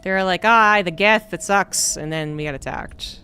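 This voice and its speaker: A man's voice: high-pitched, a little slow, clean tone, faint music behind. A man streaming a game, chatting casually and rambling with lots of fillers. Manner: rambling, relaxed, many fillers. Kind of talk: casual gameplay chat.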